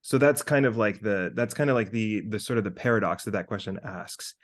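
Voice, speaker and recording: Low-voiced man chatting casually, thinking aloud. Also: fairly quick. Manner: animated, sweary, thinking aloud. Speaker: podcast co-host. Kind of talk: casual conversational monologue with hypothetical examples.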